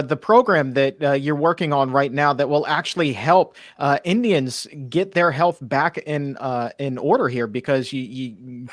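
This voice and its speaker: A man's voice: fairly high pitch, fairly bright tone, no echo, studio quality. A man hosting a health talk show, enthusiastic and chatty, reading out viewer questions. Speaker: show host.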